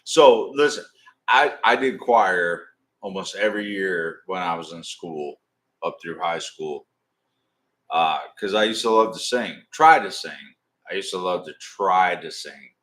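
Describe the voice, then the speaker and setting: A middle-aged man with a deep voice, rambling and swearing casually as he hosts a live stream. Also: fairly bright tone. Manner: rambling, swearing, drawn-out storytelling. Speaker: livestream host.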